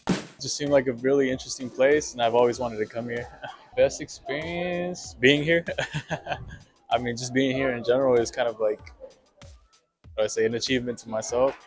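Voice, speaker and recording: A man's voice: medium pitch, steady tone, normal speed, over music. A man in his twenties, a street photographer, chatting in an easygoing way with little laughs. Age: 20s. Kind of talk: casual chat.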